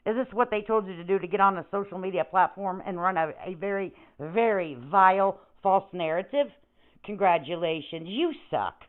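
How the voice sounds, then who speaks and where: A woman's voice: mid-range voice, normal speed, narrow, tinny audio. A woman giving mocking, confrontational commentary as a reaction show host, sarcastic and foul-mouthed. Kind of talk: mocking commentary on another creator's stream.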